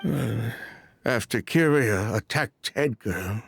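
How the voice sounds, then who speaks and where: A shaken witness in a game mystery. He is anxious and halting, with a rough, husky voice that rises and falls sharply. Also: lowish voice, lively intonation, dry recording, clean studio sound, exaggerated voice. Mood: uneasy. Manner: halting, nervous, earnest. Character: shaken, anxious man giving witness testimony. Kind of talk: video game voice acting.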